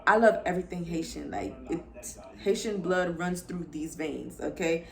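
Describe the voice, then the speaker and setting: A woman's voice: fairly low pitch, normal speed, gravelly, soft background music. A young woman from Florida, a lifestyle vlogger, chatting casually and upbeat to her viewers as she introduces herself. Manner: chatty, upbeat, addresses viewers as y'all and girl.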